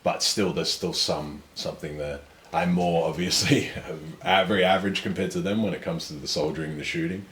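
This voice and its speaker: Young man, very low voice, self-assured storyteller, roomy sound. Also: fairly even intonation, brisk, fairly bright tone, a little hiss or hum. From Australia. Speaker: guest. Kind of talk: casual conversation. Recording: echoey room with faint ambience.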